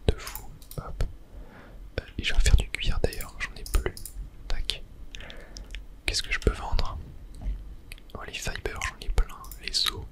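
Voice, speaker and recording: An ASMR gamer commenting on their own play in a breathy, unvoiced whisper, casually muttering to themselves with slangy asides. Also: very high-pitched. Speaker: video game streamer narrating own play.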